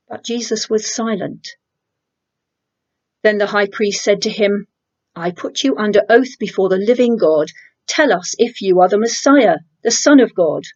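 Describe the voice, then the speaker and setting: A woman's voice: medium pitch, a little mellow. Woman reading scripture, dull recording. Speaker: church congregation member reading scripture. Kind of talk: scripture reading, dramatic narration of a Gospel. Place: indoor room at home with bookshelves. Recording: dull lo-fi home webcam sound.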